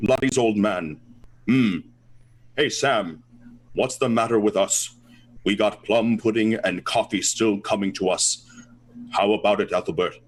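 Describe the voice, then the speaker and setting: A man's voice: fairly low pitch, a little slow, long pauses, slight background noise, pitched below the performer's own voice, natural voice, rough recording. A man acting an easygoing, hearty buddy in an amateur old-time radio crime drama. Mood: genial. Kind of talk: amateur 1940s radio play reading.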